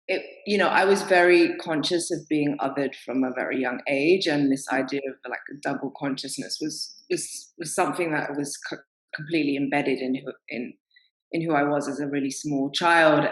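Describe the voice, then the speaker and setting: A woman's voice: sits low, roomy sound, rough recording. A woman panelist telling candid stories in a panel discussion, often laughing as she talks. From England.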